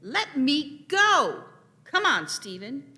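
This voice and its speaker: A woman's voice: sits high, big pitch swings, takes it slowly, bright, forceful tone, heavily acted. A woman playing a feisty, impatient young space-travelling companion in a radio play. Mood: urgent. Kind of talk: live radio play reading.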